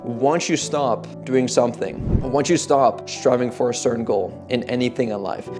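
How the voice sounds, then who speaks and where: A young man in his twenties talks casually and earnestly about goals, his sentences loose and padded with "like" and "kinda". His voice sits at an ordinary middle pitch for a man. Clear guitar music plays behind him throughout. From Netherlands. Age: 20s. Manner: rambling, heavy use of "like" and "kinda". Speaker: fitness coach. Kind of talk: casual motivational talk.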